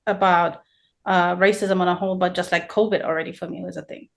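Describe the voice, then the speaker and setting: A woman's voice: lowish voice, flat delivery, fairly quick, vocal fry. A woman co-hosting a casual podcast, speaking bluntly and matter-of-factly. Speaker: podcast co-host. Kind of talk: casual panel discussion.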